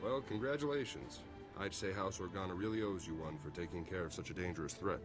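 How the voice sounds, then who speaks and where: Very deep-voiced easygoing captain played by a man. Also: quick pace, natural voice. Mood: wry, upbeat. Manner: casual, wry, friendly. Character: easygoing, loyal military captain, male. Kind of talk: video game cutscene acting. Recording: game soundtrack music behind.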